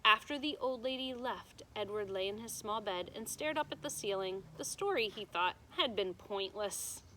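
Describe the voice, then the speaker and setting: A woman's voice: mid-range voice, moderate pace, pushed and bright, poor audio. A woman teacher reading a storybook aloud to children, with emphatic delivery and simple explanations. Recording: noticeable background noise.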